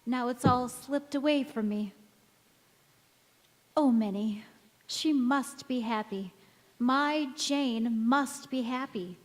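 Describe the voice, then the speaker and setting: A woman playing a wistful, gentle mother in an old-time radio drama, recorded in an echoey church hall. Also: on the high side, slow pace, long pauses. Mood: wistful anguish. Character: wistful, gentle mother returned as young visitor.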